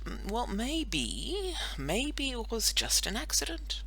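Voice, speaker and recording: A woman's voice: on the high side, very animated, takes it slowly, a bit bright, husky, pitched above the performer's own voice, full character voice. A woman voicing a well-meaning, awkward schoolboy in a children's audiobook, hesitant and fumbling as he thinks aloud. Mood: tentative.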